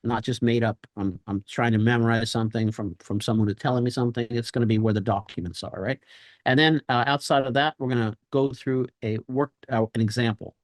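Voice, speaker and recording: A man's voice: mid-range voice, fairly even intonation, brisk, no echo. An American man hosting an online class as an instructor, talking informally and loosely in a rambling, conversational way. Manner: loose, rambling, conversational, often tagging "right?". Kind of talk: informal live webinar presentation.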